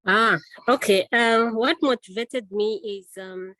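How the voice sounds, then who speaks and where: A woman from Zambia, a researcher talking hesitantly on a video call with many pauses. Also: fairly high pitch, sharp tone, no echo. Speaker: award-winning researcher and webinar panelist. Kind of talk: casual answer on a video call. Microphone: video call microphone.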